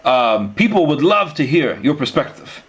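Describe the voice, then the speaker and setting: A man interviewing someone in a conversational way, explaining his views and asking questions earnestly in halting phrases with repeated words. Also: on the high side, very animated, slightly rough.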